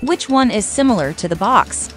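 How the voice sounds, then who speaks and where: A woman's voice: fairly high pitch, lively intonation, moderate pace, over music. A woman reading scripted quiz narration as the host.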